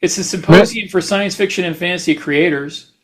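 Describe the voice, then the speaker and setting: A man co-hosting a podcast in casual chat, talking in a halting, rambling way. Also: sits high, fairly bright tone, breathy edge. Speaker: podcast host; writer.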